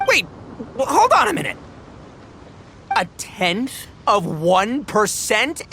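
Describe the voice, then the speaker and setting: Wildly animated, bombastic young hothead. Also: male voice, high voice, slow, creaky, a little hiss or hum, exaggerated voice. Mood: incredulous despair. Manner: bombastic, dramatic, swinging from solemn to shouting. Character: boisterous, theatrical young man, earnest beetle-battling enthusiast. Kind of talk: animated video game acting.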